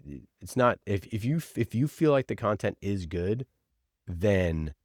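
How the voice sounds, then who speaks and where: A man's voice: on the low side, lively intonation, measured pace, a little mellow, no echo, clean studio sound. A man giving conversational marketing advice as an interview guest, informal, opinionated and emphatic, often voicing imagined quotes. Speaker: podcast producer, interview guest.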